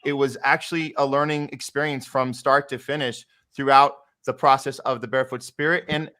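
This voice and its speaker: A man's voice: mid-range voice, steady tone, brisk, sharp tone. A man in casual conversation as a podcast host, talking in an agreeable, affirming way.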